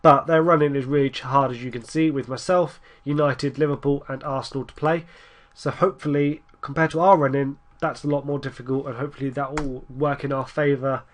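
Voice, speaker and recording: A man's voice: medium pitch, steady tone, fairly quick. A young man with a stuffy nose from a cold, rambling through casual commentary over a football management game and urging his team on. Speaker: gaming YouTuber.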